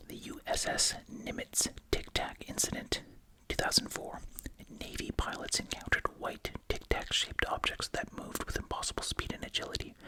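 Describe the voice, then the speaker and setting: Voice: bright, forceful tone, poor audio. An ASMR creator whispering breathily while chewing gum, reading out summaries and adding casual, musing asides. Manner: casual, musing, repeats short phrases.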